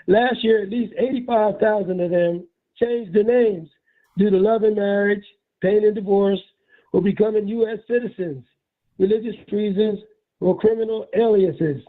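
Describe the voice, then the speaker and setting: A hoarse man with a raspy voice reads out a news report in a measured, deliberate way. His voice sits high for a man. The sound is thin and tinny, coming down a phone line. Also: steady tone. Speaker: street reporter.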